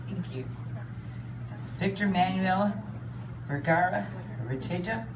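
Lowish woman reading names in an echoey hall. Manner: formal, measured, reads names carefully. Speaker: immigration official presenting petitioners. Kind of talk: formal ceremony address, reading out names. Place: hall with large windows, seated audience. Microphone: phone recording from the audience. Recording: echoey hall, distant, audience noise.

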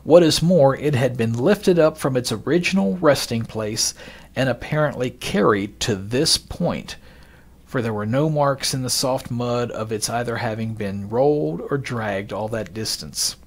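Male podcast narrator, mid-pitched, measured and expository, reading from script and quoted sources.

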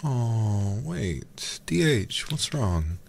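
A man livestreaming a game in a soft, soothing ASMR style, chatting casually and affectionately close to the microphone. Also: lowish voice, very animated, very slow, gravelly, a little hiss or hum. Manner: soothing, affectionate, drops into whispers. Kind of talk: ASMR gaming stream, soft casual chat. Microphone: close streaming microphone.

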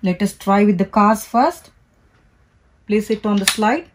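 Woman calmly narrating a toy unboxing. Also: medium pitch, heavy background noise. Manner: slow, careful, instructional. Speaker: toy reviewer. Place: indoors, at a table. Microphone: off-camera voice, hands only in frame.

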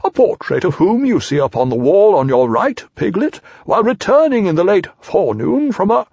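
A solemn, self-important owl from a children's story. He speaks in a raised, swooping voice with formal, drawn-out phrasing and a slightly husky edge. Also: sits high, very animated, a little mellow, no room sound, lo-fi sound, pitched above the performer's own voice, heavily acted. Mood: pompous. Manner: pompous, formal, drawn-out delivery. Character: solemn, pompous, long-winded storytelling male owl.

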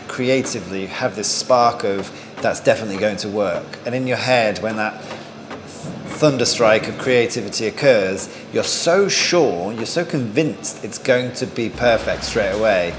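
Candid chef man in an echoey hall. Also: on the low side. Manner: candid, self-deprecating, laughs at himself. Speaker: head chef. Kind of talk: reflective interview. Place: large bright restaurant dining room. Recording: reverberant room with clear background noise.

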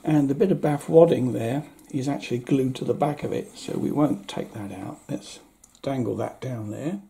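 A man in his seventies giving a casual, unscripted review with wry understatement, in a husky voice. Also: medium pitch, normal speed, warm tone. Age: 70s. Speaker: audio equipment reviewer. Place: indoor room at home.

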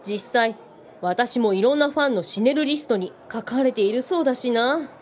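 An actress playing a tough, bookish woman who talks bluntly in rough, mannish words, in a comic radio drama. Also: fairly high pitch, moderate pace, slight background noise, close to an everyday voice. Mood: teasing. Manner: blunt, teasing, casual rough wording.

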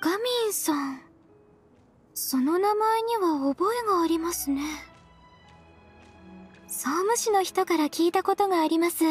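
High-voiced, gentle, polite young lady. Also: a little slow, pauses often, faint music behind. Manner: soft-spoken, formal, hesitant politeness. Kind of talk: Japanese anime-style game voice acting.

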